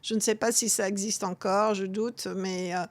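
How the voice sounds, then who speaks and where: A woman, talking as a guest author in an interview, halting with many restarts and fillers. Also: medium pitch, fairly even intonation, no room sound. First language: English. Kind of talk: interview conversation.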